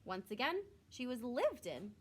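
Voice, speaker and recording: Animated, high-voiced woman reading a storybook. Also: a little hiss or hum. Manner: expressive, with drawn-out emphasis and questions to listeners. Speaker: teacher reading a picture book aloud. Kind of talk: storybook read-aloud for young children.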